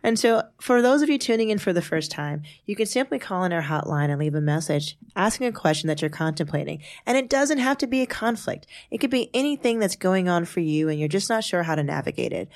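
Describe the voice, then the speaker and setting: A middle-aged woman hosts a podcast from a studio, and her voice sits a little low. She talks in a bubbly, effusive way and laughs often with her guest. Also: expressive, quick pace, hardly any pauses. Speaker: podcast host. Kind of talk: casual podcast chat.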